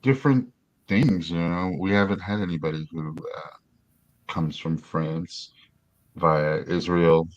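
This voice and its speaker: A man hosting an interview show, thanking his guest with many pauses and ums. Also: low-pitched, fairly even intonation, no echo, muffled recording. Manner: warm, hesitant, many ums. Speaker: show host. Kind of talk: interview host wrap-up.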